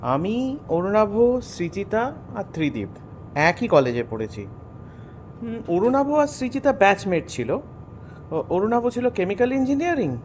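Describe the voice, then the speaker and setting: An actor playing a friendly young engineer in an audio drama, speaking conversationally. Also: male voice, high-pitched, slight background noise.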